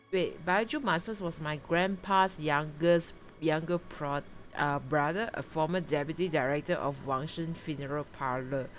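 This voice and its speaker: A woman giving casual live game stream commentary, thinking aloud haltingly and repeating herself. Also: low voice. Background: game music.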